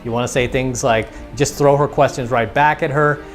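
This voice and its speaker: A man's voice: mid-range voice, steady tone, moderate pace, soft background music. A man coaching as a dating instructor, talking casually, repeating his points and acting out snippets of dialogue. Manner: casual, repetitive, acts out quoted dialogue. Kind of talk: instructional monologue.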